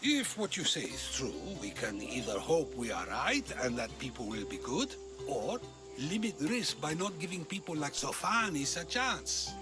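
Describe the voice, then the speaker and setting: High-pitched probing philosopher. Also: male voice, very animated. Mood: inquisitive, musing. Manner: inquisitive, rhetorical, deliberate phrasing. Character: probing, musing Greek philosopher who debates in questions. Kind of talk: video game character acting. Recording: game dialogue over music.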